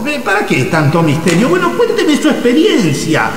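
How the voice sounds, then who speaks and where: A man playing an excitable, curious invisible ghost who stammers and pleads in a radio comedy drama. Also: very high voice, normal speed, a little hiss or hum, heavily acted. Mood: curious, incredulous. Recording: echoey, reverberant, slightly distant from mic.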